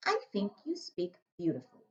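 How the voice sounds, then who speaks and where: A woman voicing a small, polite, curious young girl who speaks up high and sometimes stammers, in a storybook reading. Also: wildly swooping pitch, darkish tone, reverberant, muffled recording. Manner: high, quick to apologize, hesitant stammers.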